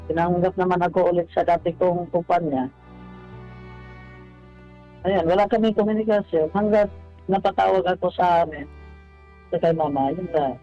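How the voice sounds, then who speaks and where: A man calling a radio show, telling his personal story in a polite, halting way. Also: high-pitched, mellow. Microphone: telephone. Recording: dull phone-in line, music bed.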